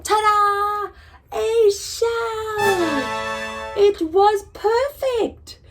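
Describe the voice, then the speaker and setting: A woman's voice: very high-pitched, steady tone, very slow, a bit bright, faint music behind, pitched above the performer's own voice, full character voice. A woman voicing an eager, wistful little boy slug from a picture book, wide-eyed and exclaiming. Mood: proud, delighted. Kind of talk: children's picture book character voice.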